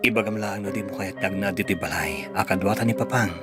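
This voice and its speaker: An actor with a Filipino accent playing an earnest, devoted young husband in an Ilocano radio drama, with a pleading, melodramatic delivery. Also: male voice, deep voice, quick pace, loud music behind the voice, natural voice. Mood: tender, hurt.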